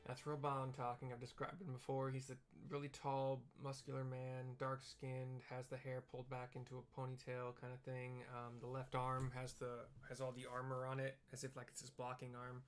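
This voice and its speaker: A man casually describing video game scenes aloud, explaining step by step in a halting way. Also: mid-range voice, completely flat delivery, clean tone. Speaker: gaming streamer narrating for visually impaired viewers.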